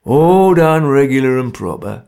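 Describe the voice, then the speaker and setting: A man's voice: mid-range voice, lively intonation, unhurried, dark tone, smooth. A man voicing a grim, threatening working-class man.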